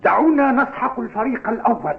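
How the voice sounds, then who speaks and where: A cocky schoolboy football rival, high-voiced and mocking, in a cartoon dub. The sound is tinny and phone-like, and he pushes every line as a provocation. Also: animated, fast talker, gravelly, slightly rough, heavily acted. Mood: challenging. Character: taunting, cocky male school football team challenger. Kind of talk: Arabic cartoon dubbing.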